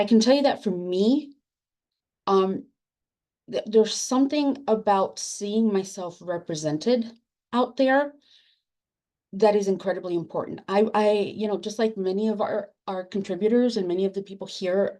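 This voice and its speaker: A woman's voice: mid-range voice, dark tone. A woman whose first language is Spanish, an editor speaking earnestly on a panel over a video call, with many fillers and restarts. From Spanish-speaking country. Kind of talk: panel discussion at a virtual book launch.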